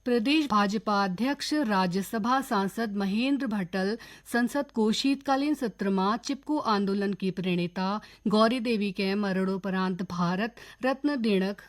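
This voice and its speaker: A woman newsreader with a Kumaoni accent, reading a scripted regional radio bulletin in a steady, formal way. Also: medium pitch. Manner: steady, formal news delivery.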